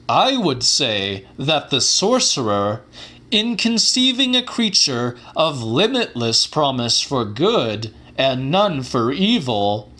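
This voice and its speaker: A man's voice: high voice, sharp tone, exaggerated voice. A man playing a fervent scientist who delivers a formal, theatrical lecture of revelation in an audiobook. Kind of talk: dramatic audiobook monologue.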